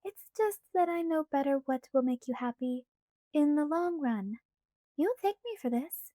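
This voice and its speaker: Bubbly, wheedling young female vampire, read by a woman, high and bright, dry studio. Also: lively intonation, unhurried, no echo. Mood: chirpy. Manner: wheedling, sing-song, eager. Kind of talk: audiobook character voice.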